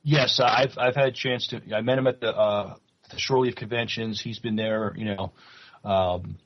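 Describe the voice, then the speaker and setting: A dull, lo-fi internet call carries a fairly low-voiced man. He chats casually as a co-host, a little haltingly. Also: fairly even intonation, noisy background. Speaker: podcast co-host. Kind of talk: casual chat.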